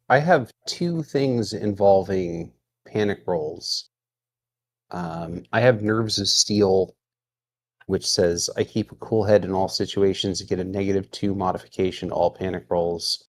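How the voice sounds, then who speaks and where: A man's voice: fairly low pitch, a little slow. A man playing a tabletop roleplaying game, talking casually and reading out his character's abilities.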